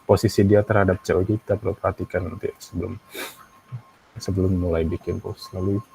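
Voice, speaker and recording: A man with an Indonesian accent teaching an animation software tutorial, thinking aloud in a halting way with frequent pauses. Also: low-pitched, little pitch movement, slight background noise, low-quality recording. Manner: halting, pausing often, frequent throat clearing. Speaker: animation instructor.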